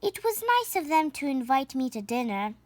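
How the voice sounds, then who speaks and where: Woman playing a high, prim, fussy lady neighbour. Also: big pitch swings, moderate pace, no room sound. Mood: wistful. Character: fussy female neighbour who likes quiet.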